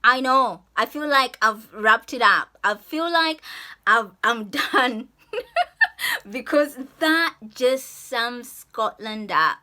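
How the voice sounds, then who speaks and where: A woman's voice: fairly high pitch, expressive, slow pace, bright, forceful tone. A woman from Zambia chatting effusively to camera in a vlog, laughing often. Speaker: vlogger. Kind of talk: casual vlog talk to camera.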